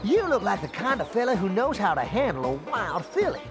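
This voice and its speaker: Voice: medium pitch, big pitch swings, a little fast. An actor voicing a folksy adult male rodeo cowboy who drawls and calls everyone champ, in a cartoon game cutscene. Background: music.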